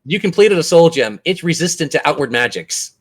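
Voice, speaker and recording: A man acting as game master, narrating and refereeing a tabletop game in a suspenseful, drawn-out way. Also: fairly high pitch, expressive, breathy edge.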